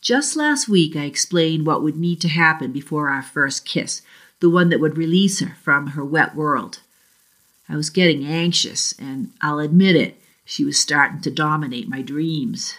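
Boston lady reading her short story out loud, deep-ish voice, slow and deliberate. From South Shore of Boston. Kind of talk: reading a first-person short story aloud.

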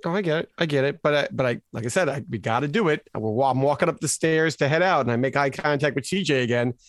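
A man's voice: mid-range voice, fast, no room sound, studio quality. An American man co-hosting a casual sports podcast, telling stories animatedly and acting out what people said. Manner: animated storyteller, acts out quotes. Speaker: podcast co-host.

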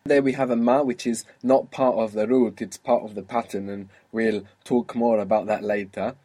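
A man teaching a one-on-one language lesson, patiently explaining and spelling out sounds slowly. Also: lowish voice, fairly even intonation, mellow. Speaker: Arabic teacher.

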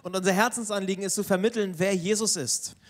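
A man with a high voice and a fast pace interprets a guest preacher line by line in front of a church. He hurries through each sentence, stumbling over fillers and restarting words as he goes. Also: steady tone. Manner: hurried, with fillers and self-corrections. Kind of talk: live sermon interpreting. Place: church service.

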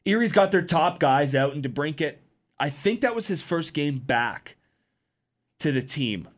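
A man in his twenties co-hosting a sports podcast in a relaxed, chatty way, with lots of fillers and easy laughs. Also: on the high side, unhurried. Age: 20s. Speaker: podcast co-host. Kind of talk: casual sports podcast chat.

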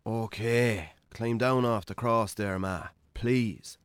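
A man's voice: fairly low pitch, slow pace, smooth, no echo. A man playing a put-upon, hungover Irish farmer in a radio drama, weary, dry and sardonic. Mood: exasperated. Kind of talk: naturalistic radio drama acting.

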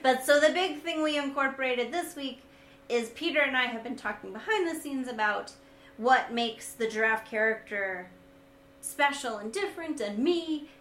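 A woman with a high, sweet, gentle-sounding voice, talking casually and reflectively as a co-host, with a wry, self-deprecating manner. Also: normal speed. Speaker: vlogger and co-host discussing their video series. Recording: echoey room, light background noise.

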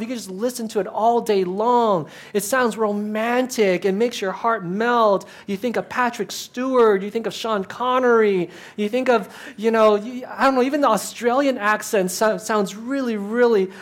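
A man in ministry giving a conversational talk to an audience, chatty and self-deprecating, with asides and many restarts. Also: sits high.

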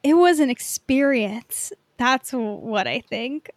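Female co-host, higher register, unhurried, tentative casual chat, dry sound. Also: animated, no room sound. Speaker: podcast co-host.

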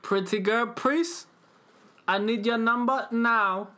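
A man's voice: bright, forceful tone, heavily acted. A man putting on a syrupy, smitten suitor. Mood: flirty. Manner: slow, sing-song, high put-on voice.

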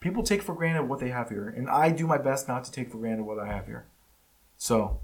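A man hosting his own podcast and talking at his usual middle pitch, a native Spanish speaker who now speaks English. His delivery is blunt and emphatic. He often stops and restarts his sentences. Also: breathy edge, rough recording. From United States. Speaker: podcast host. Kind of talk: casual opinion monologue. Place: California.

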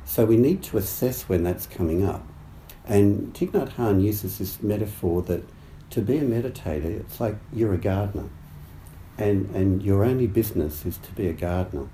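A man with a low, very dark and mellow voice, croaky tonight, gives a meditation talk. He speaks slowly and thoughtfully, pausing often and restarting his sentences. The recording sounds roomy, with a faint hiss behind him. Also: expressive. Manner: halting, thoughtful, many fillers and restarts. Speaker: meditation teacher. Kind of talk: dharma talk. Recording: roomy echo, faint background noise.

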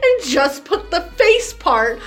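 A woman's voice: extremely high pitch, sing-song, slow, fairly bright tone. A woman guest on a podcast, chatting casually about games in a dryly joking, emphatic way.

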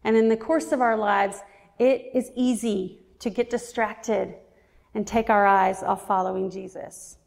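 A woman in her forties preaching a sermon, earnest and reflective, slowing down to stress key words. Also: mid-range voice, fairly even intonation, vocal fry, darkish tone. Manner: earnest, reflective, slows down for emphasis. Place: church. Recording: reverberant church hall.

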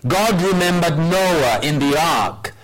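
A man in his sixties preaching a sermon, telling a personal story in a measured way with wry humor. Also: on the high side, expressive, a bit bright, rough recording. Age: 60s. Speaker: pastor.

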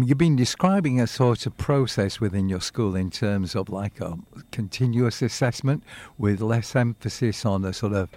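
A man co-hosting a radio show, setting up a question thoughtfully. He has a middle-pitched voice and is recorded close and dry. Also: darkish tone, dry recording. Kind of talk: radio interview.

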